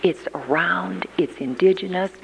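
A woman in her fifties giving an earnest talk at a church gathering, emphatic and often pausing with hesitations. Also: lowish voice, vocal fry, background noise. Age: 50s. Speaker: church conference speaker. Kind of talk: prepared talk on aging and ministry. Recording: long reverb of a large hall.

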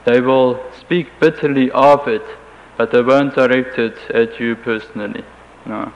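German-accented guy, flat monotone, halting with lots of restarts, muffled old tape. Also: medium pitch. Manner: halting, careful, with frequent restarts. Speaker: interviewee. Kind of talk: recorded interview answers. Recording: dull lo-fi tape with faint hiss.

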